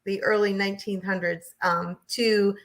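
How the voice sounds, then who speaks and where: Female American guest, bright forceful voice, chatty storytelling delivery. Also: mid-range voice, fairly even intonation, normal speed. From southern New Hampshire, United States. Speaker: guest, realtor. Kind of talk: interview storytelling.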